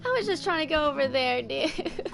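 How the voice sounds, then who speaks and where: A woman's voice: high voice, animated, moderate pace, vocal fry. A woman in her twenties giving casual gameplay commentary and reading game text aloud. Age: 20s. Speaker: gaming streamer. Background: game music.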